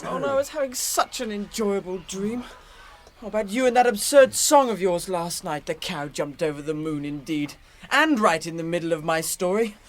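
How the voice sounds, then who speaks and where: High-pitched, fussy halfling. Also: male voice, animated, normal speed. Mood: grumbling, teasing. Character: fussy, excitable young male halfling. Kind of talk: radio drama acting.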